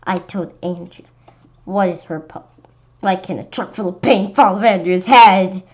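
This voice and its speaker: A woman reading a children's story aloud in an expressive, animated way, occasionally stumbling over words. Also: mid-range voice, normal speed. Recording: dull lo-fi sound with clear background noise.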